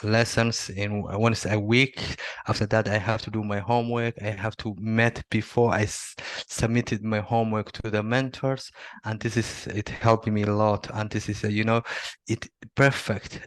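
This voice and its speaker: A middle-aged man from Somalia, not a native English speaker, answering interview questions haltingly with many fillers and restarts. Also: lowish voice, flat delivery, no echo. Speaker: interviewee, Salesforce admin. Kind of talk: video interview.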